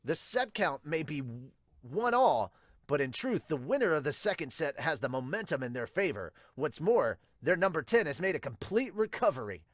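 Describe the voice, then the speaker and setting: A man's voice: on the high side, big pitch swings, normal speed, dry recording, clean studio sound. Man as an emphatic, dramatic sports commentator. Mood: intense. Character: dramatic sports match commentator, intense and serious. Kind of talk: manga dub match narration.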